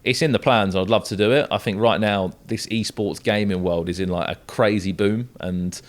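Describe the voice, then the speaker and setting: A young man with a low-pitched voice and a southern English accent. He is an esports football commentator, chatting casually as a guest on a podcast. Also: steady tone, normal speed. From south coast of England. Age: young adult.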